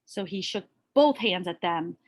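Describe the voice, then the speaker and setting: A woman reading a children's story aloud expressively, with deliberate pauses and dramatic emphasis. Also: lowish voice, lively intonation, background noise. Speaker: storybook reader.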